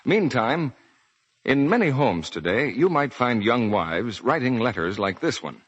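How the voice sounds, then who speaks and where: A man's voice: medium pitch, very animated, unhurried, breathy edge, lo-fi sound. A man announcing and reading scripted advertising on a 1940s radio show. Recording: old broadcast, faint audience noise.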